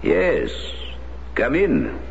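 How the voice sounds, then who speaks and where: An actor playing an older priest in a radio drama, speaking in a measured, formal, hesitant way. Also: male voice, medium pitch, wildly swooping pitch, creaky, full character voice. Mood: polite, guarded. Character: guarded French parish priest, former Resistance leader. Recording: dull, noisy vintage radio recording.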